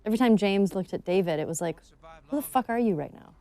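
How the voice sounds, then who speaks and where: A woman's voice: medium pitch, expressive, fast talker, warm tone. A woman, an actress, chatting frankly and bluntly about her game scenes, laughing often. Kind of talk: casual commentary chat.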